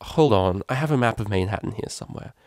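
A man's voice: on the low side, expressive, fast, gravelly, darkish tone, no room sound, clean studio sound. A man voicing a refined, caring female cellist pony in a storybook, with prim and formal wording. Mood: resigned, caring.

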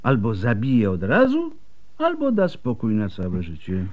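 An actor playing a weary, wry old doctor scarred by smallpox in a radio drama. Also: male voice, fairly low pitch, wildly swooping pitch, muffled recording. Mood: firm, sardonic. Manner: wry, world-weary, unhurried.

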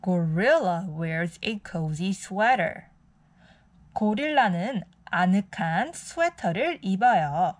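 A woman's voice: mid-range voice, lively intonation, fast. A woman reading a picture book aloud for young children as a storytime host.

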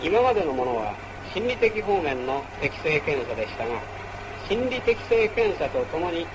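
A man's voice: fairly high pitch, very animated, normal speed, background noise. A man narrating a wartime military training film in a formal, instructional manner, on an old film soundtrack. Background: music.

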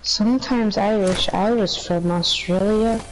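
A woman's voice: medium pitch, measured pace, slight background noise, rough recording. A woman chatting casually with other players during an online game, throwing out dry, teasing quips. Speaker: player in voice chat.

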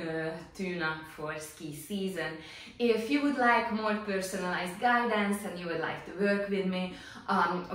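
A woman's voice: fairly low pitch, fairly bright tone. A middle-aged woman working as a Pilates instructor, giving encouraging step-by-step exercise cues. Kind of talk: exercise instruction, demonstrating moves. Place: small indoor home-style exercise studio. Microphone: distant camera microphone. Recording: echoey room, distant microphone.

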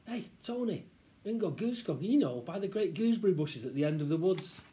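Man doing a high-pitched pleading British schoolboy. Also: expressive, fast, mellow. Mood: eager. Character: pleading, left-out northern English schoolboy.

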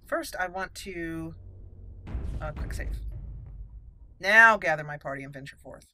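Bright-voiced woman playing a game. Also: low voice, animated, measured pace, slight background noise. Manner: playful, chatty, talks to characters. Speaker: gaming channel host. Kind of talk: casual roleplay game commentary.

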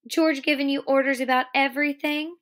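Woman reading as a high-pitched lonely young farm wife. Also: steady tone, a little fast, fairly bright tone. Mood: teasing, coaxing. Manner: folksy uneducated rural speech. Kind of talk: dramatic reading of a novel.

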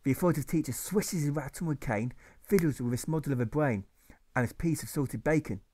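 A man's voice: a little high, a little mellow. Man reading rhyming verse. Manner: rhythmic, measured. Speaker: author reading his own novel. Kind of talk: rhyming audiobook narration.

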